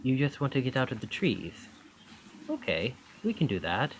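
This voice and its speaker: A man's voice: medium pitch, huge pitch swings, moderate pace, slight background noise, rough recording. A man playing a charming young college student who is secretly a possessive, otherworldly captor, in an intimate, coaxing ASMR roleplay. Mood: friendly, coaxing.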